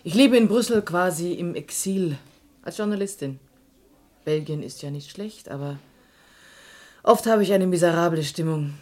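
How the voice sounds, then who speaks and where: An actress playing a composed, probing woman journalist in a radio crime drama, speaking in a deliberate, confiding way. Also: lowish voice, a little mellow, close to an everyday voice. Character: poised, probing Italian woman journalist living in exile.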